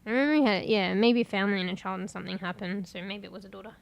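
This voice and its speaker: A congested woman chatting casually on a livestream, rambling, swearing and breaking into sudden loud exclamations as she reads things aloud. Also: medium pitch, fairly even intonation, rapid-fire, gravelly, muffled recording. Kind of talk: casual stream chat, reading aloud.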